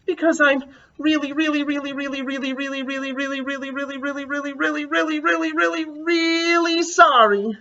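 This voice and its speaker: A man voicing an upset, dramatic little girl who pleads in a high, wailing voice. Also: steady tone, moderate pace, pushed and bright, full character voice. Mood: pleading. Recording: dull lo-fi recording.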